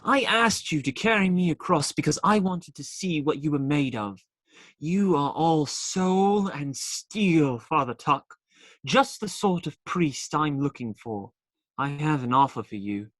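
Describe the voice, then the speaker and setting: An actor playing a bold, roguish, idealistic outlaw hero in a radio play. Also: male voice, a little high, animated, measured pace, fairly bright tone, no room sound. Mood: grand, inviting.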